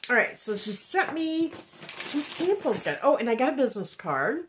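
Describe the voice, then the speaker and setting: A woman's voice: high voice, sing-song, normal speed, a little hiss or hum. A woman, chatting casually in a haul vlog and sounding nasal from a head cold, with lots of ums. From Indiana, USA. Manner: chatty and rambling, many ums, reads cards aloud. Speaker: wax melt reviewer. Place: home room, indoors.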